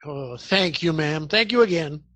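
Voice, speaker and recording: An actor playing a genial, folksy, deferential police officer in a radio comedy. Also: male voice, a little high, expressive, unhurried, fairly bright tone, no echo. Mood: grateful, polite. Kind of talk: radio comedy theatre acting.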